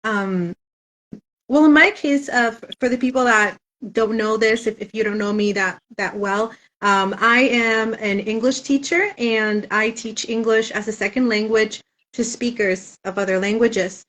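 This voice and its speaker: A woman's voice: on the high side, a little slow, a bit bright, rough recording. A woman author whose first language is Spanish, chatting thoughtfully in a casual interview with frequent "um" fillers.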